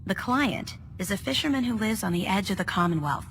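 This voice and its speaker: An actress playing a wry, teasing detective agency secretary in a video game, brisk and matter-of-fact. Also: female voice, medium pitch, lively intonation, a bit bright, big-room sound, slight background noise. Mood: businesslike. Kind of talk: video game noir voice acting.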